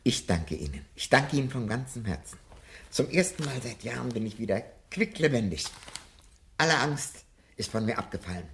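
An actor playing an older, learned professor in a radio drama, speaking in an earnest, deliberate and emphatic way. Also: male voice, medium pitch, big pitch swings, fairly bright tone. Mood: resolute, grateful. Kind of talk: 1970 German radio drama acting. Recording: radio drama with faint background noise.

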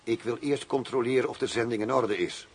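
An actor playing a cool, demanding arms buyer in a radio drama, formal, firm and self-assured. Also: male voice, mid-range voice, brisk, natural voice. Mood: firm, businesslike. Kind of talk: Dutch radio drama acting. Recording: noisy old radio drama recording.

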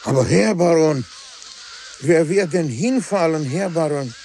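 A servile horse trader wheedles in a high voice with lively swings of pitch. His manner is pleading and cajoling, in radio drama style, with faint noise on the recording. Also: measured pace. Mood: coaxing.

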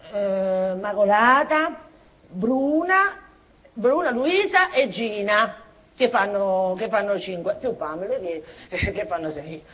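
High-pitched unhinged former schoolteacher woman. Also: a bit bright. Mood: plaintive, confused. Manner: halting, repetitive, swinging between outbursts and muttering. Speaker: stage actress in a monologue. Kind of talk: theatrical comic-tragic monologue, broken invented dialect. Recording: dull, noisy theatre recording.

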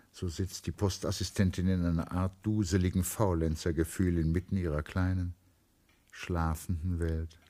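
A man reading literary narration for a radio drama in a low-pitched voice. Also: mellow, breathy edge.

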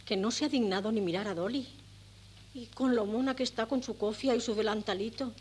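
A woman's voice: a little high, a little fast, darkish tone, slight background noise, close to an everyday voice. An actress playing a melodramatic middle-aged mother and wife, an indignant, meddling matchmaker in a 1970s Spanish radio comedy. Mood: scheming. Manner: melodramatic, exclamatory. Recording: old cassette of 1970s radio play.

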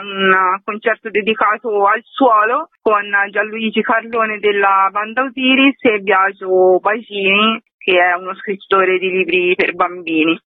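A woman's voice: mid-range voice, no room sound. A woman, a festival curator giving informal answers about a program in a radio interview. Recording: tinny telephone line.

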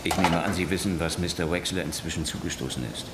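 A calm, very low-voiced police detective acted by a man. He questions politely but persistently, in a dry radio-drama manner. Loud noise runs behind his voice. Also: fast talker, close to an everyday voice. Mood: calm, probing. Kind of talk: radio crime drama acting.

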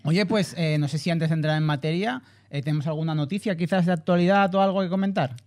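A man's voice: on the high side, fast talker, darkish tone. A man with a Spain Spanish accent hosting a casual podcast, welcoming listeners and introducing his co-hosts. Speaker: podcast host.

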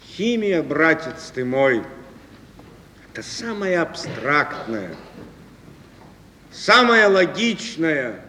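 Philosophising man, stage drama, slow expansive delivery, animated pitch, long hall reverb, faint noise. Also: heavily acted. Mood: musing, emphatic. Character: man musing grandly on chemistry to a youth. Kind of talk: stage play acting. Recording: reverberant hall, noisy archive stage recording.

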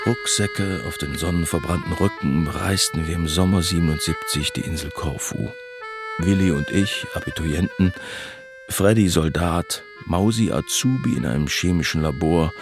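A man's voice: low voice, moderate pace. An actor playing a wry man who looks back on his village youth in literary, ironic radio drama narration. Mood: wry, storytelling. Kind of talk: scripted first-person radio drama narration. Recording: music behind the voice.

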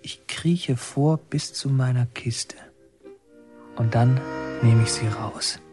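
A man acting as first-person narrator of a radio drama, an anxious son telling memories in hushed, intent short phrases. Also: medium pitch, takes it slowly, over music, natural voice. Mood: tense, secretive. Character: son recounting tense childhood memories, anxious and secretive.

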